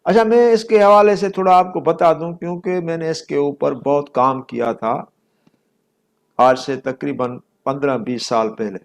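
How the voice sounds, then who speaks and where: A man's voice: a little high, fairly even intonation, normal speed, creaky. A middle-aged man with a Pakistani accent giving an explanatory law lecture, repeating points for emphasis and dropping in English legal terms.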